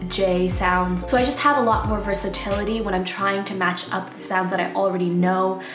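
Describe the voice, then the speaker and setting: A woman's voice: medium pitch, fairly even intonation, normal speed, echoey room, over music. A young woman whose first language is Nepali, giving casual tips in a chatty vlog full of fillers. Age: young adult. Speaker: vlogger.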